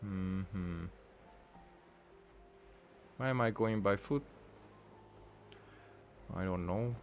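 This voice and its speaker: A man giving casual gameplay commentary, rambling and thinking aloud with halting phrases. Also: low voice. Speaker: gaming streamer. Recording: game music behind the voice. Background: video game music.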